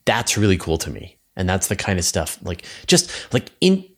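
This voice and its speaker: A man's voice: deep voice, sing-song, moderate pace, fairly bright tone. A man talking casually and enthusiastically as a podcast host, thinking aloud with emphatic points. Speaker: podcast host and science news publisher.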